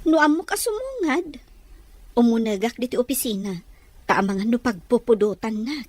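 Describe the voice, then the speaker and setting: A woman's voice: fairly high pitch, animated, normal speed, a little mellow, no echo. A woman acting a curious, gossipy female office worker in an audio drama. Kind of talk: Ilocano radio drama acting.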